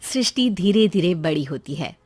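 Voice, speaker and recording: A woman's voice: lowish voice, lively intonation, normal speed, a little mellow. A woman reading scripted narration for a moral story cartoon.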